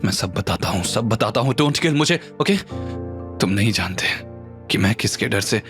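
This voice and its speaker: A man's voice: on the low side, animated, moderate pace, a bit bright. A man voicing a panicked, dying villain in an audio drama, desperate and faltering. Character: panicked dying male villain, fearful and evasive. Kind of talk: Hindi audio drama acting. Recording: music under the voice.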